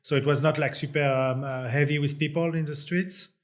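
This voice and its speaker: A man's voice: fairly high pitch, fairly even intonation, brisk. A man from France, a company CEO, chatting as a podcast guest with lots of fillers and restarts. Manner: hesitant, many fillers and restarts. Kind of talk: casual podcast conversation.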